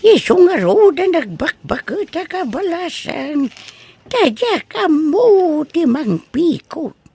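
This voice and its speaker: A woman acting a scolding, amused old spinster in an Ilocano comedy audio drama, creaky and exclaiming, with an Ilocano accent. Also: sits high, sing-song, slow pace, long pauses, warm tone, heavily acted. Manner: exclaiming, teasing, breaking into cackles.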